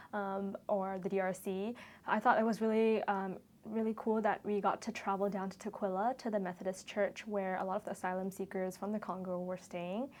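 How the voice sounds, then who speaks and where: A woman in her twenties who grew up in Malaysia gives a reflective, interview-style testimonial. Her voice sits at an average pitch for a woman. She talks earnestly, linking loose phrases as she thinks back over her experience. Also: a little mellow. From Kuala Lumpur, Malaysia. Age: 20s. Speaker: medical student-to-be sharing a shadowing experience.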